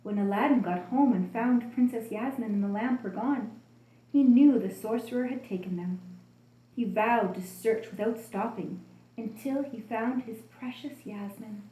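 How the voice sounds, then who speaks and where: Bright-toned woman storyteller in an echoey room. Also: on the high side. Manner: expressive, deliberate storytelling addressed to young listeners. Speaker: children's storyteller. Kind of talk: expressive scripted story reading for children.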